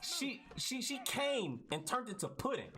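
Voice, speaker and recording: A man's voice: extremely high pitch, big pitch swings, unhurried. A man improvising a mock-epic comic story in casual slang, repeating himself and tagging phrases with "right?". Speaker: storyteller narrating over gameplay video. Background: basketball video game sounds and chatter.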